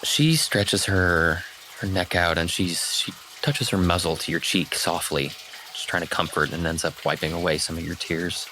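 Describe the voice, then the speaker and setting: A man's voice: low voice, normal speed, noisy background. A man improvising story narration as game master in a tabletop roleplay game.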